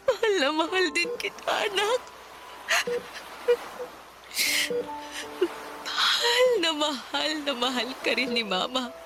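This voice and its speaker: A woman's voice: high-pitched, moderate pace, background noise, lightly acted. An actress playing a loving, anxious mother of young sons in a radio drama, coaxing and tender.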